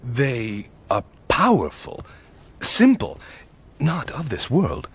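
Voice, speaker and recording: Earnest Arabian counsellor, animated film, husky, wildly swooping pitch, emphatic. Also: male voice, slow, heavily acted.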